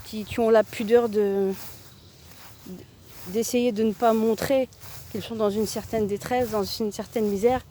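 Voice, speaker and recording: A woman's voice: on the high side, fairly even intonation, measured pace, pauses often, mellow, slight background noise. Emphatic French woman vlogging outdoors. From France. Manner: emphatic, repetitive, stumbling restarts. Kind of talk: outdoor walking vlog monologue. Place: outdoors on a walk in a town.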